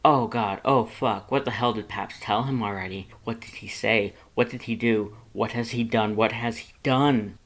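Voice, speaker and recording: A narrator reading fan fiction aloud as a dramatic story, with expressive and intense delivery. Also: mid-range voice, moderate pace, hardly any pauses. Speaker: audiobook reader of fan fiction.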